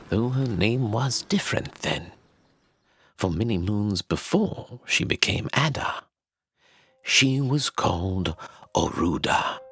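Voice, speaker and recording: An actor playing a grave storyteller of ancient legends, narrating a fantasy audio drama in a solemn, portentous manner. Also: male voice, on the low side, gravelly, a bit bright, slightly rough, full character voice. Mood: solemn reminiscing.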